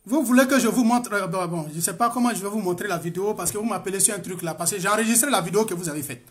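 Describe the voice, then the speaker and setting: A man's voice: high voice, rapid-fire. Man, imam, defensive and explanatory debater with repeated phrases, heard in a religious discussion on a phone call.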